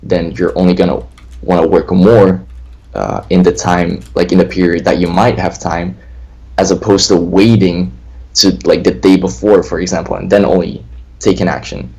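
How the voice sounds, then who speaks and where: A man's voice: low-pitched, level tone, normal speed, vocal fry, darkish tone, breathy edge, poor audio. A twenty-year-old man co-hosting a casual livestream chat, rambling and often repeating himself. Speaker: co-host of a lucid dreaming stream. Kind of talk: casual livestream chat, reading viewer questions.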